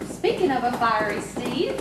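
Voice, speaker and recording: A woman's voice: a little high, expressive, moderate pace, natural voice. A woman playing a coy, proud young farmer's daughter in audio theatre, with an earnest storytelling manner. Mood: playful. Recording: distant, very echoey, noisy stage recording.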